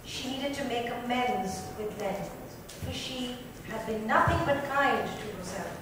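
Woman reading a story out loud dramatically, high voice, super echoey with noise. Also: animated, unhurried. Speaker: reader. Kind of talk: dramatic book reading, scripted narration. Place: club lounge with seated panel, indoors. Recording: echoey room, distant mic, clear noise.

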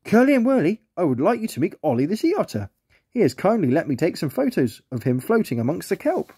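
A man's voice: high voice, big pitch swings, fast, warm tone, breathy edge, dry recording, clean studio sound, pitched above the performer's own voice, exaggerated voice. A man voicing a kindly, proper grown male lobster who explains sea life in a children's story. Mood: earnest.

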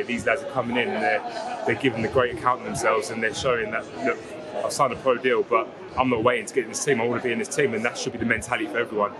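A young man speaking in a flat, level tone, answering post-match questions at an outdoor football ground. His answers run loose and easy, padded with ums and you knows. Crowd chatter sits clearly in the background. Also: mid-range voice. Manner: relaxed, fluent, filler-heavy answers. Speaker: footballer, vice captain. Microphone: lapel mic.

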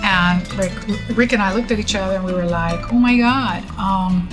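A middle-aged woman from Puerto Rico tells her story with animated, expressive energy, sitting in an office for an interview. Her voice sits at an ordinary middle pitch. Clear background music plays under her words. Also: normal speed. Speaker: writer. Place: indoor office.

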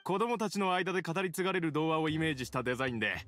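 A man's voice: a little high, animated, very fast, fairly bright tone. A man voicing a friendly, easygoing young market craft seller with welcoming sales patter in an anime game. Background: game music.

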